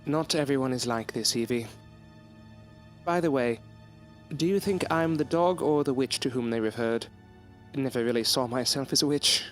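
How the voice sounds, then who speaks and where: A man's voice: medium pitch, moderate pace, faint music behind, lightly acted. An actor playing a proud, loyal male scientist in an audio drama, speaking in a formal, articulate, theatrical way. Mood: gentle, wry. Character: proud, defiant, loyal male scientist, courteous but bitter. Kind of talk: period audio drama acting.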